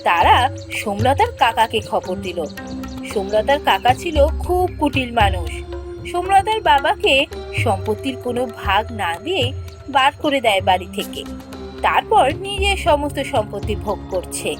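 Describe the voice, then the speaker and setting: A woman narrating a cartoon fairy tale in a lively, expressive storytelling manner. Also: a little high, moderate pace, music in the background. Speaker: storyteller voicing all parts.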